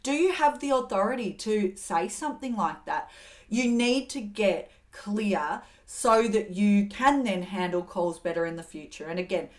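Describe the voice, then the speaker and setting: A middle-aged woman hosts an advice talk. She coaches in an earnest, steady way at an ordinary mid pitch, now and then acting out calm phrases to say to an angry caller. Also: unhurried. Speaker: host giving communication advice.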